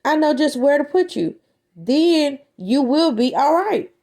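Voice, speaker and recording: Woman as an anxious, high-pitched little boy. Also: sing-song, a little slow, warm tone, exaggerated voice. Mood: reassuring. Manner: pleading, earnest, exclamatory. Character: anxious little boy who loves his pet fish.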